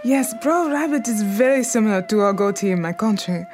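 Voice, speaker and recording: A woman's voice: medium pitch, lively intonation, brisk, a little mellow, slight background noise, close to an everyday voice. Give me a woman playing a poised, imperious Harlem crime queen. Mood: cool, haughty. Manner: formal, commanding, drops French phrases. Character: imperious, poised Harlem numbers queen, wounded but unbowed. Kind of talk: audio drama acting.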